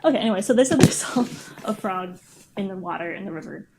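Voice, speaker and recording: A woman's voice: medium pitch, animated, fast, very noisy. A woman co-hosting a podcast, telling stories in casual, informal chat and joking along.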